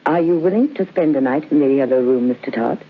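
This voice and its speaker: An actress playing a grave, commanding old aristocratic lady of a haunted house in a radio horror drama, speaking in a stately, deliberate way. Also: very deep voice. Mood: sly, ominous. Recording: muffled, band-limited old radio recording.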